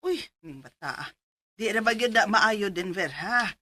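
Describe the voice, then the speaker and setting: Fussy Cebuano mom in radio drama: bright voice, lively swooping intonation, caring but naggy. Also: female voice, medium pitch, a little slow. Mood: scolding, worried. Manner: nagging, motherly, expressive. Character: fussy, caring, scolding mother of grown son. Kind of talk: Filipino radio drama acting.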